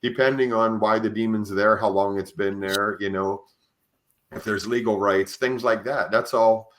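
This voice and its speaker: A man with a fairly low voice hosts a conversational podcast interview. He explains things earnestly, often restarting his phrases as he thinks them through. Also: steady tone, moderate pace, fairly bright tone, a little hiss or hum. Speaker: podcast host. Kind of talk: conversational interview, sharing religious experiences.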